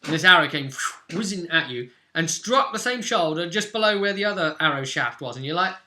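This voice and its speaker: Bright, forceful man recapping a tabletop game. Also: a little high, lively intonation, fairly quick. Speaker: game master and channel host. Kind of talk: casual recap of a tabletop role-playing session.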